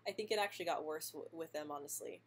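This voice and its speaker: Soft-spoken young woman who stutters. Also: sits low, quick pace, breathy edge. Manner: stutters with frequent sound and word repetitions; soft-spoken, laughs lightly between answers. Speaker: vlogger answering viewer questions live. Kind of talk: live stream Q&A, casual chat.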